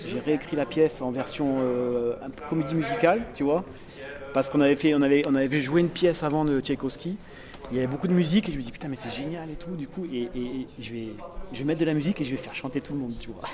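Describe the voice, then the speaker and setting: A man's voice: medium pitch, fast. A young man, an actor, chatting casually in an interview, rambling with many restarts and laughs. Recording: crowd chatter behind.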